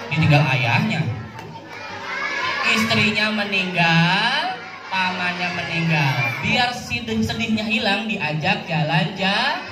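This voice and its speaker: An echoey, distant event recording with music and crowd behind. A woman tells a religious story to children in a lively, swooping voice, often tossing questions to them and repeating key words. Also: mid-range voice, takes it slowly. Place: outdoor mosque yard event over loudspeakers.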